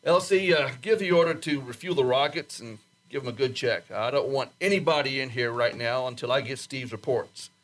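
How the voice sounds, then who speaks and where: A man acting an anxious, ageing, gruff test flight chief in a radio drama, with an emphatic delivery. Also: mid-range voice, fairly quick, few pauses, a bit bright, close to an everyday voice. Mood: brisk, impatient.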